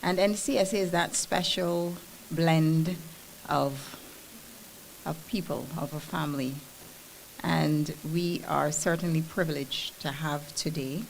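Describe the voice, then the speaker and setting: A woman, hosting a formal event in a measured, polished way at a podium mic. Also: low-pitched, vocal fry, a little hiss or hum, rough recording. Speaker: presenter. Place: conference room at a press event.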